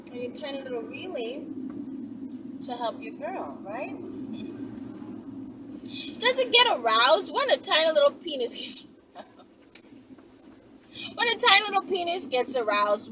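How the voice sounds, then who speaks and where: Woman, casual teasing monologue, very lively intonation, distant mic, loud background noise. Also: high voice. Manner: mocking, playful, drawn-out rhetorical questions. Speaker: online video creator.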